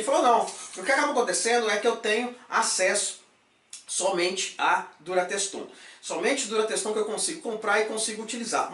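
Excitable man in an echoey room. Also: high voice, recorded from a distance. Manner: animated, gesturing, jokey catchphrases. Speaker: fitness supplement YouTuber. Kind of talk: energetic casual vlog chat. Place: small room with shelves of supplements.

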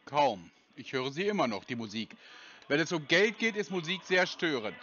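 Scam-baiter, male, dry and stubborn, lively pitch, muffled phone audio. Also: normal speed, soft background music. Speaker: scam-baiter taking a call. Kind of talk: phone prank call.

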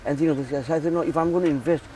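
A man's voice: a little high, steady tone, rapid-fire, distant mic, background noise. A man from Zimbabwe who runs a charity, talking earnestly in a casual interview and often repeating words as he restarts. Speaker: charity founder.

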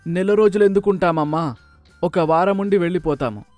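A man voicing a gentle, affectionate older father-in-law in a family moral story. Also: high voice, fairly quick, no room sound, faint music behind, pitched above the performer's own voice, natural voice. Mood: mild surprise. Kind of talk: moral-story cartoon dialogue.

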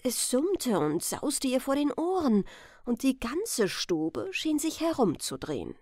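Female bedtime storyteller: gentle, slow delivery, animated intonation, in a dry recording. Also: high-pitched, no echo. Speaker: storyteller, channel host. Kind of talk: bedtime fairy-tale narration.

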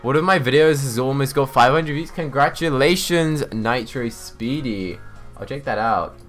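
A young man giving casual live gaming commentary, chatting, thinking out loud and reading out chat messages. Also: mid-range voice, normal speed, soft background music. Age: young adult. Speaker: livestreamer. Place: indoors, small home room.

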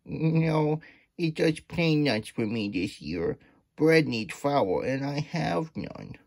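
A man's voice: fairly high pitch, animated, slow pace, gravelly, a little mellow, pitched above the performer's own voice, full character voice. A man voicing a plain-spoken female squirrel in a children's storybook, stretching out the words. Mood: glum.

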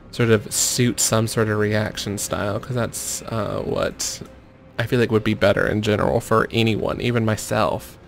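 A man commentating over gameplay in a mostly monotone voice, muttering urgings at the screen. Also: medium pitch, vocal fry, slight background noise. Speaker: gaming video creator.

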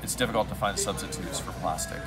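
A man's voice: low-pitched, fast talker, loud music behind the voice. A man in his forties, a restaurant owner, talking earnestly to a phone camera at home, gesturing as he explains. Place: home room indoors. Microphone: phone camera close up.

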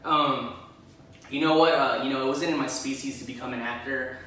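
A man's voice: mid-range voice, fairly even intonation, brisk, sharp tone, a little hiss or hum. A young man from Los Angeles, a guest actor, talking casually and laid-back with many fillers on a living room couch. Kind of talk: casual chat. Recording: echoey room, far from mic.

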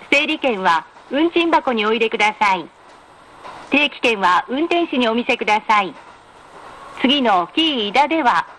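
Woman, train announcer, slightly high voice, polite scripted delivery, measured enunciation, faint background noise. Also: fairly bright tone. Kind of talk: scripted automated in-car announcement. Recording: noisy train announcement recording.

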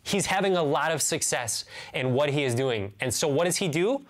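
A man's voice: fairly high pitch, expressive, moderate pace. American man preaching, earnest and emphatic. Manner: earnest, emphatic, repeats key phrases and asks rhetorical questions. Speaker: pastor. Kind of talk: sermon, teaching to camera. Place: indoors, small room with bookshelves.

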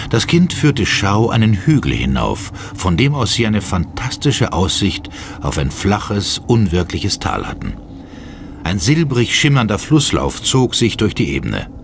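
A man's voice: lowish voice, a little hiss or hum. A man giving dramatic scripted narration as the narrator of a German horror audio drama.

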